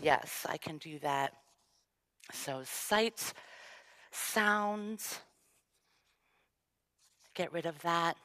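A woman's voice: lowish voice, drawn-out words, pauses often, sharp tone. A woman leading a congregation through a shared reading, encouraging and playful with participants. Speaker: service leader.

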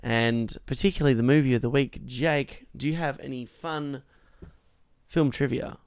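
Raspy, gravelly-voiced young man. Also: medium pitch, normal speed, dry recording, studio quality. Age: 20s. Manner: relaxed, hesitant with fillers. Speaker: podcast co-host. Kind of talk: casual film podcast chat.

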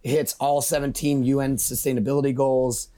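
Male guest, quick delivery, informal and enthusiastic, medium pitch, promotional interview talk. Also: flat delivery, warm tone. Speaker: guest, brand conservation representative.

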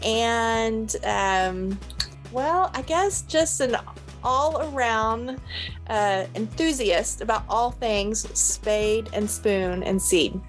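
A woman's voice: on the high side, very slow, faint music behind. A woman hosting a podcast in a conversational style, introducing herself and asking questions. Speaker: podcast host, church staff officer.

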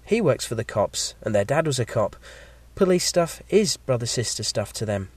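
A man with a slight cold and a croaky voice, hosting a podcast and reading a measured, scripted episode review. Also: medium pitch, sing-song, darkish tone, no room sound. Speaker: podcast host.

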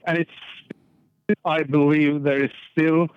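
A man's voice: on the high side, flat delivery, takes it slowly, smooth, no room sound. A middle-aged man with a Norwegian accent, a guest speaking candidly and plainly, repeating words as he thinks. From Norway. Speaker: podcast guest. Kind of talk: casual conversation. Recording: tinny phone-line sound.

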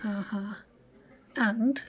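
An actress playing an older, aggrieved and persistent woman in an audio drama, speaking in a halting, insistent, emotional way. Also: medium pitch, slightly rough. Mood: wry surprise. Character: aggrieved, persistent daughter of a Russian prisoner of war. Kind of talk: naturalistic audio drama dialogue.

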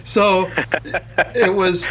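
A man's voice: sits high, fairly even intonation, very slow, a loud crowd. A middle-aged man from Pennsylvania hosting a podcast, stuffed up with a summer cold, chatting in a rambling way with many fillers. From Pennsylvania, USA. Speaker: podcast host and magazine editor. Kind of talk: casual podcast chat.

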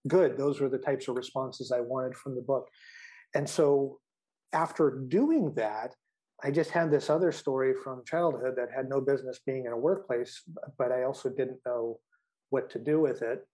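A man's voice: medium pitch, moderate pace, warm tone. A middle-aged man from the American Midwest with an American accent, talking casually about himself as a guest author in an interview.

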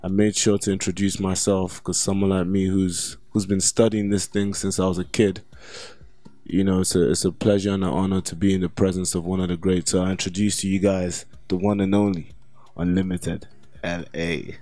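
A man's voice: low-pitched, flat delivery, normal speed, mellow, no echo. A man, speaking conversationally as an interview host.

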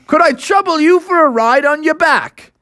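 A man's voice: very high voice, lively intonation, moderate pace, bright, forceful tone, dry recording, studio quality, voice pushed up, full character voice. A man voicing a polite, bemused father bear with courteous, formal phrasing for a children's story. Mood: polite, coaxing.

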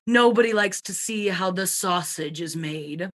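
A woman's voice: fairly low pitch, unhurried, lightly acted. A woman playing a breezy, haughty society widow with an airy, dismissive manner in a comic radio murder mystery. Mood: haughty, cool. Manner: airy, grandly dismissive, punning.

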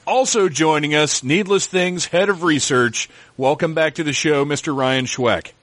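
A man's voice: on the high side, moderate pace, a bit bright, no room sound. A man hosting a podcast, a little nasal and scratchy from a cold, talking in a rambling, self-deprecating way. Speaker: podcast host. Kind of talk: casual podcast chat.

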